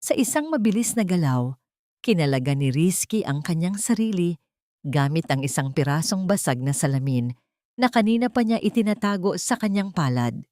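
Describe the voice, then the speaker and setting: A woman's voice: fairly low pitch, lively intonation, moderate pace, mellow, dry recording, clean studio sound. A woman reading a scripted dramatic audio story as narrator, in a solemn, melodramatic manner.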